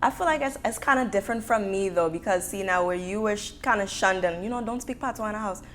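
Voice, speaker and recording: A woman from the United States hosting a casual panel chat, lively and animated. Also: medium pitch, fast, slight background noise. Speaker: show host.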